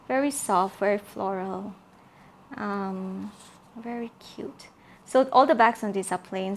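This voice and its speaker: A woman from Malaysia showing her handmade journal kits in a casual, soft-spoken way, with many fillers and repeated words. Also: medium pitch, vocal fry, a little hiss or hum. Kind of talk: casual show-and-tell craft video.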